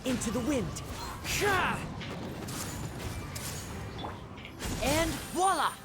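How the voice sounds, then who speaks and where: A woman's voice: high-pitched, expressive, a little slow. A woman playing a confident, flamboyant young magician in a video game, theatrical and poised. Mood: showy flourish. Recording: game audio under loud music.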